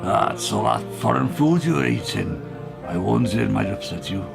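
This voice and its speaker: A man acting a fretful, old-fashioned father of a grown daughter in a radio play, with a gravelly voice. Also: on the low side, lively intonation, moderate pace, loud music behind the voice. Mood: disapproving.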